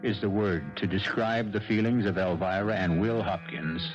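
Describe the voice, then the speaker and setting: An actor as the wry, ominous host of a 1970s radio mystery, telling the tale with theatrical, deliberate pauses. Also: male voice, lowish voice, natural voice. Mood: ominous, confiding. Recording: muffled old radio broadcast. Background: music.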